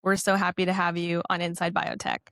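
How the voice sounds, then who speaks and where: A woman co-hosting a podcast, giving a polished, clear scripted introduction and then asking interview questions. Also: lowish voice, completely flat delivery, brisk, fairly bright tone, no echo, studio quality. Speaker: podcast co-host.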